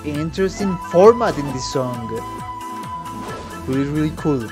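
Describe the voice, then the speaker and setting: A man's voice: a little high, expressive, measured pace. A young man giving casual, slangy reaction commentary as a musician, exclaiming and thinking aloud. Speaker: musician reacting to game videos. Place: small home room with guitars. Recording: game music playing behind. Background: game trailer music.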